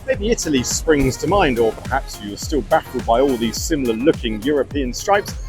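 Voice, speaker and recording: Playful male narrator, lively pitch. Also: moderate pace, music in the background. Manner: playful, jokey delivery. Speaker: video host. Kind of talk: scripted narration.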